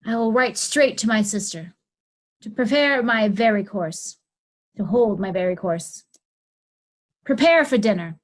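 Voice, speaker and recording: A woman's voice: mid-range voice, lively intonation, unhurried, pauses often, natural voice, low-quality recording. A woman playing a haughty, resentful royal daughter in a readers' theatre Shakespeare play, imperious and scornful. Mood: scornful, commanding.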